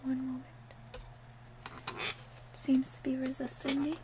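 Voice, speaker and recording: A woman softly half-whispering in an ASMR roleplay as a calm, helpful witch, with a gentle, deliberate delivery. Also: high-pitched, noisy background. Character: calm, helpful female witch selling love potions.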